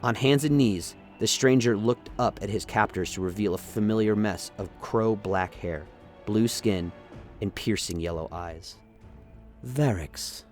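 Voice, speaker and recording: Male narrator, slightly low register, dramatic scripted storytelling over faint music. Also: unhurried.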